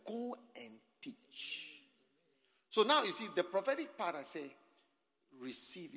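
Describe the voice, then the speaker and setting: Animated Ghanaian preacher in an echoing hall. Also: male voice, on the high side, vocal fry. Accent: Ghanaian English. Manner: animated, swinging from soft asides to shouting, call-and-response with the crowd. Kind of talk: sermon at a church camp. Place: large hall. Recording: long reverb of a large hall.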